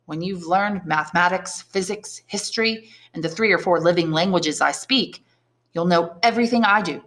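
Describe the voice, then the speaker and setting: A learned old prisoner priest from a classic novel , read aloud by a woman. He speaks deliberately and shrewdly, weighing each point like a patient tutor, with a kindly wisdom beneath the probing. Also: lowish voice, a bit bright, lightly acted. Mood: gentle, regretful. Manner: deliberate, probing questions and measured explanations.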